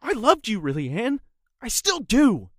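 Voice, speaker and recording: A woman playing an earnest, wounded young man pleading with a former love in an audio drama, husky and intense. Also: a little high, wildly swooping pitch, a little slow, darkish tone, no echo, clean studio sound, exaggerated voice. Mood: hurt, pleading.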